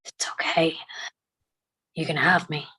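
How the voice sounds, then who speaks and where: Actress as gentle grieving woman, husky, low, hushed, forgiving, drama table read. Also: fairly even intonation, measured pace, full character voice, poor audio. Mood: tender. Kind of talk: dramatic script reading.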